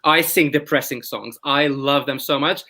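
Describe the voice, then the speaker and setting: A man speaks on a video call with a bright, pushing voice. He talks with enthusiasm in fast bursts and restarts his sentences. It is a casual panel chat. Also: medium pitch, fairly even intonation. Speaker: panel guest.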